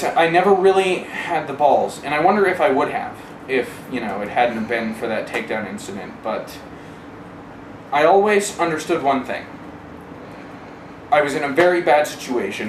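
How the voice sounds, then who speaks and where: A man giving a candid first-person account in a wry way, casually swearing and acting out remembered exchanges. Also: mid-range voice. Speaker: interviewee telling a personal story. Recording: roomy, distant mic, clear background noise.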